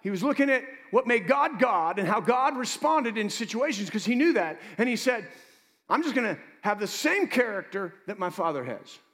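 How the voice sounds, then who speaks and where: A man preaching as pastor, moving between loud exhortation and quiet asides, joking with his congregation. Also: sits high, big pitch swings, moderate pace. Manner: jokes with the congregation. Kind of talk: preaching a sermon.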